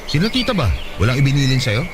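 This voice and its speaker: Actor as fast-talking, animated young fiancé. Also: male voice, mid-range voice, noisy background, close to an everyday voice. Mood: exasperated teasing. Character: exasperated but loving young fiancé, teasing. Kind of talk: Tagalog radio drama acting. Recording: music over the voice. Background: music.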